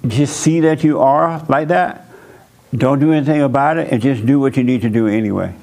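A man preaches bluntly to his congregation, laying down advice in a dialect and chuckling at his own lines. His voice sits at a middle pitch. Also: a little fast, creaky, darkish tone. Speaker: pastor hosting a church call-in session. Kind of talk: blunt counseling talk to a congregation.

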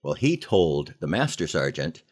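An older American man telling personal stories in a conversational, folksy way, leaning deliberately on key phrases, as a podcast host. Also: a bass voice, big pitch swings. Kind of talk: conversational storytelling with moral lessons.

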